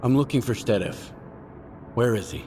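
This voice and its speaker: A man's voice: medium pitch, normal speed, darkish tone, slight background noise, lightly acted. A man playing a terse, tough wasteland mercenary in a video game, matter-of-fact in manner. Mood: firm, controlled. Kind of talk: video game cutscene acting.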